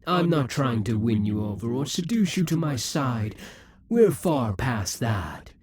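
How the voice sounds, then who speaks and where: A man voicing a proud, vengeful male demon king centuries old, speaking slowly and theatrically in an audio roleplay, with hissing whispers at times. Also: medium pitch, very animated, darkish tone. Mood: scornful. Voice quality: very husky, breathy.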